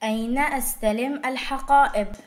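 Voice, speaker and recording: Woman modeling pronunciation, very quick, slightly high voice, short careful words. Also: steady tone. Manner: short, carefully spoken single words and phrases. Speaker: language teacher pronouncing words. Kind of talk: model word pronunciation for learners.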